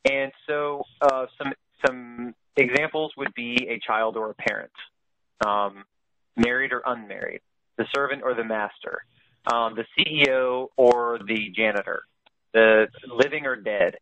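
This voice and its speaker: A man leading a group conference call, explaining things informally in a halting way, often searching for words. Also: medium pitch, sharp tone, lo-fi sound. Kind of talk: informal explanatory presentation, partly read aloud.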